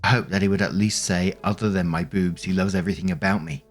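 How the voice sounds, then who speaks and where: Man, narrator, reading posts aloud, low voice, faint music behind. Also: quick pace. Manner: plain, steady reading with brief casual asides. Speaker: narrator of online stories.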